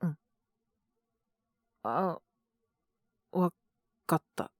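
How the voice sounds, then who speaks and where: A woman playing a sick young male schoolteacher in a Japanese audio drama, gentle and flustered, speaking hesitantly in a weak, feverish mumble. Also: fairly low pitch, animated, no echo, clean studio sound, full character voice. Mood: apologetic, hesitant. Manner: hesitant, mumbling, trailing off with many pauses. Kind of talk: Japanese boys' love situation voice drama.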